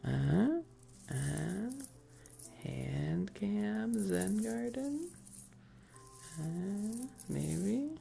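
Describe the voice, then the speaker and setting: A woman's voice: medium pitch, lively intonation, drawn-out words, gravelly, pauses often, mellow. A woman chatting casually and playfully with viewers on an ASMR livestream, teasing and repeating her questions, close to the microphone.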